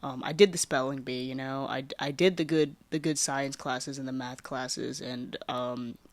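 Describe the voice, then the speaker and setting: A young woman talks casually into a close mic, recounting her own past with plenty of fillers. Her phrases often fade softly at the ends. The sound is dry with no room around it. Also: a bass voice, fairly even intonation, moderate pace, dry recording. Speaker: podcast host. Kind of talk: casual personal storytelling.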